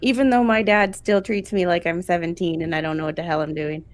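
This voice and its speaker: A woman in her forties chatting casually as a guest on a stream, talkative and quick to laugh at herself. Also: lowish voice, steady tone. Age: 40s. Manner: chatty, laughs often, self-deprecating. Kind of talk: casual chat.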